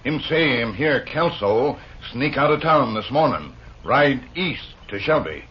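Dull old radio sound with faint noise carries a man acting as a loyal companion in a 1950s western. He speaks earnestly in clipped, broken English at a middling pitch. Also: expressive, measured pace, lightly acted.

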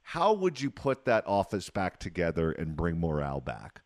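A man's voice: sits low, huge pitch swings, moderate pace, no room sound, studio quality. A man hosting a radio talk show, interviewing a guest with long, conversational questions.

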